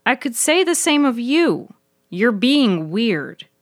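A woman's voice: fairly high pitch, big pitch swings, slow pace, no room sound, studio quality, pitched above the performer's own voice. A woman voicing an earnest, easily stung young woman who loves nature, in a fiction podcast. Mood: stung, agitated. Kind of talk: audio fiction character dialogue.

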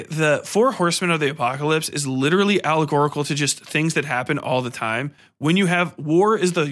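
Higher-voiced man on a profane rant. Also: a bit bright. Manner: profane, irreverent, slangy; exasperated, sarcastic. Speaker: podcast host reviewing a film. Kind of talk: casual solo commentary, ranting review.

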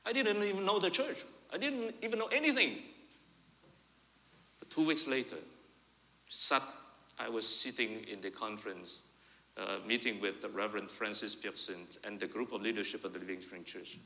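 A male pastor tells a story from the pulpit. His voice is very bright and forceful, and it rings out with a long church-hall reverb. He swings from loud emphasis to soft, confiding asides. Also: lowish voice, normal speed. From Vietnam. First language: Vietnamese. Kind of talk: sermon with personal story. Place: church sanctuary.

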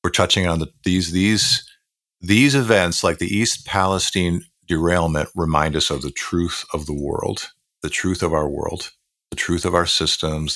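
A man co-hosting a podcast, talking in an earnest, measured way with fillers and restarts. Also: a bass voice, fairly bright tone, no room sound. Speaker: podcast co-host. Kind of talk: reflective podcast conversation.

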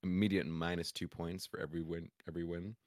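Hoarse, raspy young man, very low voice. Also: flat delivery, fast talker, darkish tone, no room sound, studio quality. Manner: rambling storytelling full of fillers. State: hoarse, raspy and cracky from drinking. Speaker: podcast co-host. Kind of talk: casual chat.

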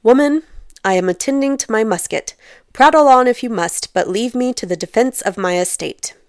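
A woman voicing a sardonic, dismissive middle-aged gentleman with a stern, pompous manner in a comic storybook reading. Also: on the high side, moderate pace, no room sound, clean studio sound, natural voice. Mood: irritated, dismissive.

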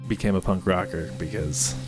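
A man chatting casually as a podcast co-host, animated and swearing freely as he tells stories. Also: low-pitched, moderate pace. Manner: animated, swearing, mimicking others. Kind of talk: casual chat. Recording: music playing underneath.

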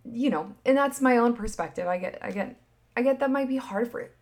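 A woman in her thirties chatting casually and candidly as a podcast host, swinging between emphatic bursts and hushed asides, with lots of filler words. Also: fairly high pitch, a little fast. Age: 30s. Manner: frequent filler "like", candid and swearing. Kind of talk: casual opinion chat.

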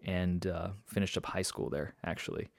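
Young man from Indonesia, rambling conversational guest with a low voice, dry close sound. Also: moderate pace, gravelly, no echo. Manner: rambling storyteller, many fillers and restarts, laughs often. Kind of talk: casual conversation.

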